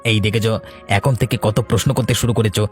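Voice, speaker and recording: A man's voice: fairly low pitch, fairly even intonation, a little fast, faint music behind, natural voice. A man voicing a sly, coaxing village go-between in a cartoon tale. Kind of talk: Bengali fairy-tale cartoon voice acting.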